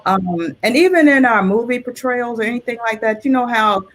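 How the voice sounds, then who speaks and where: A woman's voice: fairly high pitch, fairly quick, noisy background. A woman, an artist and illustrator, talking casually as an interview guest.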